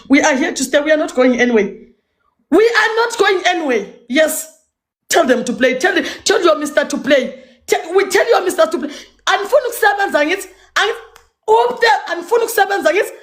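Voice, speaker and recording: A woman with a Zimbabwean accent in a defiant, taunting social media rant, repeating her phrases emphatically. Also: very high-pitched.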